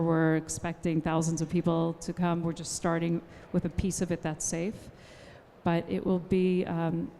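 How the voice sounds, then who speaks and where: A woman's voice: fairly low pitch, warm tone. A woman on a conference panel, answering an audience question and enthusiastically promoting her project. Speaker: panelist, developer. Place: conference hall. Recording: long hall reverb.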